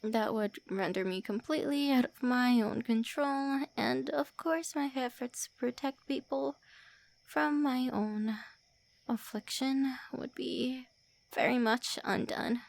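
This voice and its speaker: A woman playing a gravelly-voiced prickly vampire. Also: fairly high pitch. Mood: melancholy. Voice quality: soft, often whispered. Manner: tsundere, sharp then wistful. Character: prickly lonely female vampire, centuries old. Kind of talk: ASMR roleplay.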